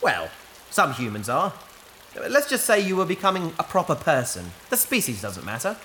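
Casting: woman as dry, patient space adventurer, bright and expressive, gently correcting, sci-fi audio drama over faint ambience. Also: deep voice, normal speed, slight background noise, exaggerated voice. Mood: gentle, reassuring. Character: patient, wry adventurer minding a wayward AI companion.

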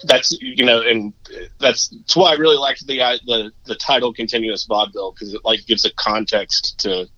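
A man with a low voice, a musician guest talking casually in an interview, rambling and self-deprecating. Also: steady tone, moderate pace, bright, forceful tone, no echo, dull audio.

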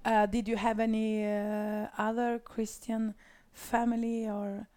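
A woman's voice: on the high side, completely flat delivery, dark tone. A woman from Sweden hosting an interview in a studio, speaking hesitantly with many "uh"s and encouraging murmurs. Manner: slow, hesitant, frequent "uh" and "mm-hmm". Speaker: interview host.

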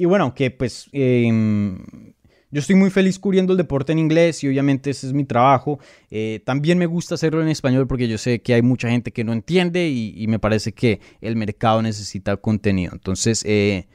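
A Colombian man talks through fight predictions in a loose, chatty way, stressing points with emphasis and often pausing on fillers. His voice sits at an ordinary middle pitch. The sound is dry and close, with almost no room echo. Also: animated, a little mellow. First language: Spanish. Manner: conversational, emphatic, many fillers and restarts. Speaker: MMA analyst and channel host. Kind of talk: casual live commentary answering viewer questions.

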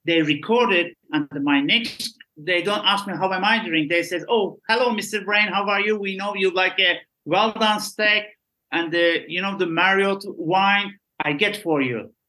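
A man's voice: sits high, moderate pace, pushed and bright, low-quality recording. A middle-aged Turkish man talks earnestly in broken English about his years working on cruise ships. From Turkey. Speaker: guest, former cruise ship staff. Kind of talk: casual interview chat.

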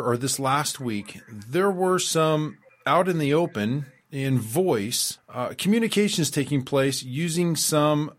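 A man hosting a radio show, talking casually and rambling, reading out quotes with frequent fillers and restarts. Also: mid-range voice. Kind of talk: casual spoken commentary.

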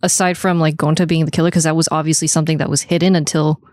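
A woman's voice: low-pitched, flat delivery, racing, darkish tone, no echo, clean studio sound. A woman co-hosting a podcast, chatting casually about a video game in informal, slangy language. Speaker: podcast co-host.

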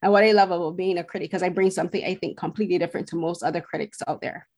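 A woman's voice: on the low side, steady tone, racing, a little mellow. Woman, film critic guest. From Barbados. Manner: earnest, talks at length, searching for words. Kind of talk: casual podcast conversation.

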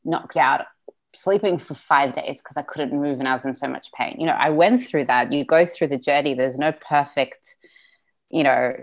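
A young woman with a low-pitched voice tells her story as an interview guest. She is earnest and chatty, breaks into laughter often and drops the odd swear word. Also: normal speed. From Australia. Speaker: guest, business founder. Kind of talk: casual interview chat.

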